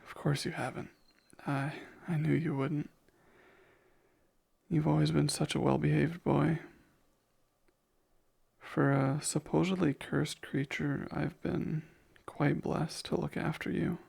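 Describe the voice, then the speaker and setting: Protective vampire caretaker played by a man, slightly high male voice, unhurried, soft and intimate ASMR delivery, tender and courteous. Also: flat delivery, vocal fry, slightly rough, exaggerated voice. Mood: careful, serious, then gentle, reflective. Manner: tender, soothing, hesitant, formal wording.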